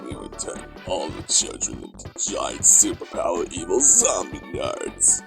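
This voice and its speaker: A woman's voice: very deep voice, sing-song, unhurried, creaky, over music, pitched below the performer's own voice, full character voice. A woman voicing an evil, merciless male alien invader in a storybook. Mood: scheming.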